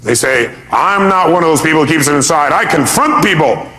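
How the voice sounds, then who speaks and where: A man with a distinctly high-pitched voice leads a motivational seminar. He speaks emphatically, poses rhetorical questions to his listeners, and acts out people's reactions as he drives his key points home through repetition. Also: animated, brisk, bright, forceful tone, slight background noise.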